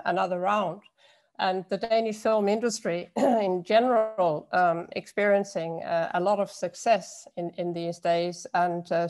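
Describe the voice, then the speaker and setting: A woman panelist speaking in an online discussion over a video call, halting, with frequent fillers. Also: lowish voice, a little mellow.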